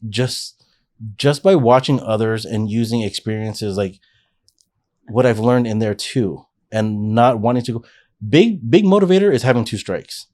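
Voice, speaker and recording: A man's voice: medium pitch, animated, normal speed, a little mellow. A man in his forties, a podcast guest, telling his life story in an earnest, rambling way with frequent restarts. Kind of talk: casual life-story interview.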